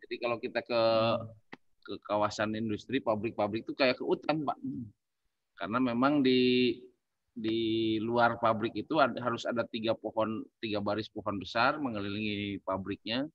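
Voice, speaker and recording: Male panelist, low voice, halting and thoughtful conversational delivery, close dry sound. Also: fairly even intonation, dry recording, dull audio. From Indonesia. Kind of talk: casual discussion, thinking aloud.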